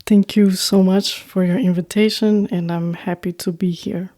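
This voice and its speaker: Soft mellow woman with an Albanian accent. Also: mid-range voice, fairly even intonation, normal speed. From North Macedonia, Albanian family. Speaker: interview guest, musician. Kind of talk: reflective casual conversation.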